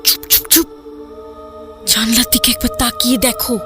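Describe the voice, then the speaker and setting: A woman playing a frightened young newly married wife in an audio story, speaking in a hesitant, halting way. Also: a little high, fairly even intonation, over music, natural voice. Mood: hushed, fearful. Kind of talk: audio story dramatic acting.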